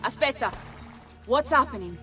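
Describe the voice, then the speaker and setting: A woman's voice: high-pitched, lively intonation, normal speed, bright, forceful tone. An actress playing a bold, sardonic Italian noblewoman in a video game, with an Italian accent and a salty, theatrical delivery. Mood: alarmed, furious. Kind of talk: video game cutscene acting. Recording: music behind the voice.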